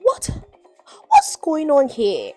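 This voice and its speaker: A woman's voice: high voice, huge pitch swings, slow, mellow, soft background music, pitched above the performer's own voice, heavily acted. A woman storyteller voicing a deceitful, haughty young gold-digger in a dramatic folktale. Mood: confused.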